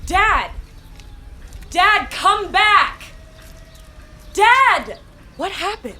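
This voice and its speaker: An actress playing a weary, dutiful adult daughter in a stage drama, earnest and wistful. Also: female voice, extremely high pitch, very animated, very slow, sharp tone, a little hiss or hum, full character voice. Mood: distressed, pleading. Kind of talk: stage play acting.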